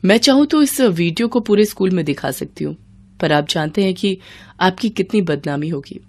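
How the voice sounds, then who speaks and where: महिला की आवाज़ में दृढ़, सिद्धांतवादी स्कूल टीचर. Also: female voice, on the low side, lively intonation, moderate pace. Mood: accusing, firm. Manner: firm, admonishing. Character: principled, firm female schoolteacher. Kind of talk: animated moral story dialogue.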